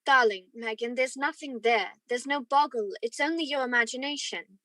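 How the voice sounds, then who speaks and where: Woman as a lovestruck gentleman in a radio play, tender earnest delivery, a bit high, no room sound. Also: moderate pace, no room sound. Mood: concerned. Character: earnest, lovestruck young gentleman narrating his romance. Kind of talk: radio play acting and narration.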